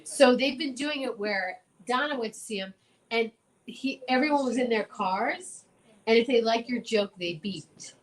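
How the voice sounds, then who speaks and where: Woman, higher voice, casual chat, emphatic, gushing, repeats herself, talk show co-host. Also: expressive, measured pace, low-quality recording.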